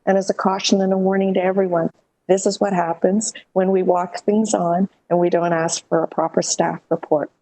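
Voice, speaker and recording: Mellow, lower-pitched woman in a meeting. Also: flat delivery, normal speed, poor audio. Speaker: city councillor. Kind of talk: formal meeting remarks.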